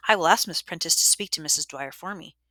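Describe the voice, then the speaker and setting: A woman voicing a pompous, scheming schoolgirl in a shrill, emphatic storybook voice. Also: fast, pushed and bright, no room sound. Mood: pompous, eager.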